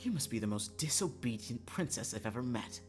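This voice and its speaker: A man's voice: mid-range voice, lively intonation, a little fast, soft background music, lightly acted. A man voicing an exasperated female witch guardian in a visual novel, scolding and teasing in a grudging way. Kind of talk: visual novel read-aloud.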